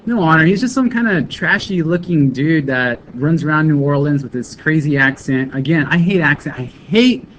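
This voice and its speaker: A man co-hosting a casual chat show, joking and excitable, who laughs often. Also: on the high side, normal speed, few pauses. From California. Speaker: co-host. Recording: dull lo-fi live broadcast with faint background noise.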